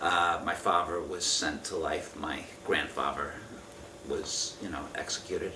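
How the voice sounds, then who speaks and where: Man, 50s, interviewee. Low, bright voice, careful halting recall, distant mic. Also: steady tone. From Israel. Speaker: interviewee giving family testimony. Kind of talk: oral history interview, recounting from memory. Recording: roomy, distant mic with faint noise.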